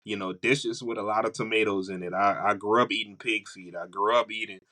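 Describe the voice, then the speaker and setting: A man's voice: on the low side, steady tone, fairly quick, bright, forceful tone. A man with a Southern US accent hosting a podcast, talking casually and repeating phrases as he builds his point. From Louisiana, USA. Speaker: podcast host. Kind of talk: casual conversational podcast talk.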